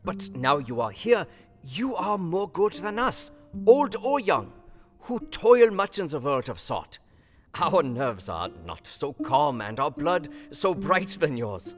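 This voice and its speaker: Emphatic older professor, swooping pitch. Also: male voice, very animated, slow pace. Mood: rousing, earnest. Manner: emphatic, solemn, quaint broken English. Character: kindly, urgent older foreign professor and doctor. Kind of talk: audio drama acting. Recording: long hall reverb over music.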